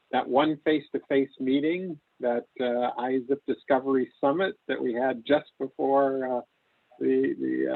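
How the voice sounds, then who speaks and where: A man chairing an online meeting, making informal, halting remarks with frequent pauses, heard over lo-fi call audio. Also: medium pitch, vocal fry, no room sound. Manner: halting, pausing often. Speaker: meeting chair introducing officers. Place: video conference call.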